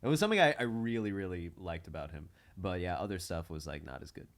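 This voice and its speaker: A man chatting casually on a comedy podcast, telling personal stories in an animated, halting way and repeating words. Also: sits low.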